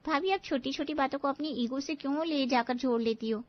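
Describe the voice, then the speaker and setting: Casting: woman playing spoiled college girl, very high voice, cheeky and gushing, cartoon family drama, dull recording. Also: fairly quick, close to an everyday voice. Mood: dismissive. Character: cheeky, spoiled college-age sister-in-law who loves snacks. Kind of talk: animated family story acting.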